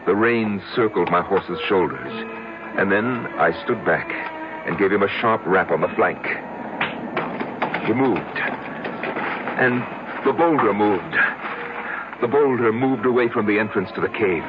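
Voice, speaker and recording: An actor playing a haunted man confessing his strange past, with halting, repetitive, dramatic delivery in an old-time radio drama. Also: on the low side, expressive, loud music behind the voice. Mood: intense, haunted. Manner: halting, repeating, dramatic pauses. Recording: noisy, dull old radio broadcast.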